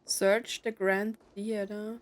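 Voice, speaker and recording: A woman's voice: mid-range voice, flat delivery, slow. Young woman, casual gaming commentary. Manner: musing aloud, frequent "like", jokey asides. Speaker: gaming streamer. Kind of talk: casual let's play commentary.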